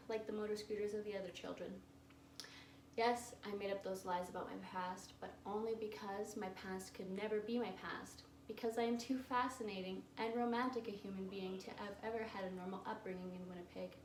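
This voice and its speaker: A woman's voice: a little high, close to an everyday voice. A woman playing a flamboyant, defiant adult male drag queen on trial, in an impassioned, pleading theatrical monologue. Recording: echoey room, distant mic, faint hiss.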